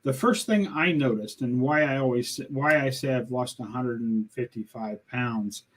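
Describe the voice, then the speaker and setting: Husky sixty-year-old man. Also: mid-range voice, moderate pace. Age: 60s. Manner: earnest, plain-spoken storytelling. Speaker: guest. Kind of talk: casual interview chat.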